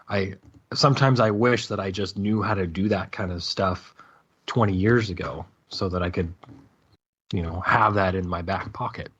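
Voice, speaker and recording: A man's voice: on the low side, a bit bright, husky. A man talking casually and giving his opinions as a panel guest, on lo-fi audio.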